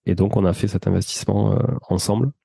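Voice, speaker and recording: A man's voice: on the low side, fairly even intonation, quick pace, vocal fry, mellow, breathy edge, no room sound. A man chatting informally and explaining at length as a guest in an interview. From France, of Portuguese descent. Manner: informal, talkative, explanatory, slangy. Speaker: interview guest, entrepreneur and property investor. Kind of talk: casual conversational interview, recounting own experience.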